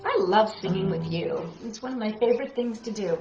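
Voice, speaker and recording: A woman's voice: medium pitch, expressive, a little fast, a little mellow, faint music behind. Woman storytime host in a roomy recording. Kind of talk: storybook read-aloud with chatty asides to children. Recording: roomy, dull lo-fi sound.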